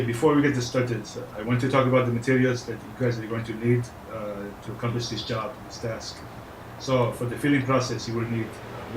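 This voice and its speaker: A low-voiced man gives an informal tutorial in a put-on French accent. His delivery is flat and halting, with hesitant phrasing. The recording is roomy and echoey. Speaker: tutorial presenter. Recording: roomy echo, faint background noise.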